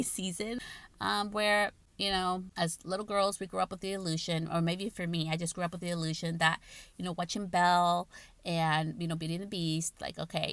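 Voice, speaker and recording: A woman's voice: fairly low pitch, a bit bright, no echo. A woman in her late thirties with a Guatemalan Spanish accent, hosting a podcast and talking candidly in casual, swear-heavy chat. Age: 30s. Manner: candid, frequent swearing and filler likes. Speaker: podcast host. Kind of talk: casual personal talk.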